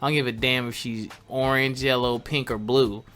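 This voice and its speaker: A man's voice: medium pitch, flat delivery, normal speed, fairly bright tone, soft background music. A man co-hosting a podcast, sharing personal views and stories casually in an emphatic, plain-spoken way. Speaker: podcast co-host.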